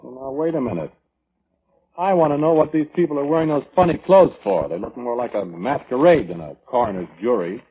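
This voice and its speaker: A man's voice: mid-range voice, animated, fairly quick, husky. A man playing a defensive, combative murder suspect in a 1940s radio drama, curt and slangy, with an American accent. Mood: indignant. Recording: muffled vintage radio recording.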